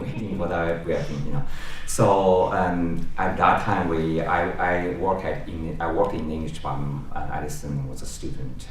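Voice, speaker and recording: A middle-aged man from China, a native Chinese speaker with a Chinese accent, introducing a guest at a university talk in halting English full of restarts and fillers. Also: a bass voice. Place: lecture room. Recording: roomy echo, distant mic, faint background noise.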